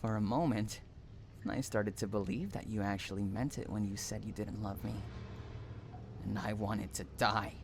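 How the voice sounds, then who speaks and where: A man's voice: lowish voice, steady tone, moderate pace, gravelly, noisy background. A man playing an obsessive, unhinged kidnapper in a dramatic roleplay, cooing endearments mixed with threats straight at the listener. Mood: wounded, obsessive. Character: obsessive, unhinged male stalker kidnapper, possessive and menacing lover.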